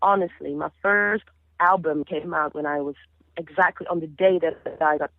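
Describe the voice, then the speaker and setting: A woman's voice: deep voice, moderate pace, creaky, dry recording. A woman in her thirties from the Caribbean whose first language is Papiamento, telling stories animatedly with many restarts, heard over a phone line. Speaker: singer, interview guest. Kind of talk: casual interview chat.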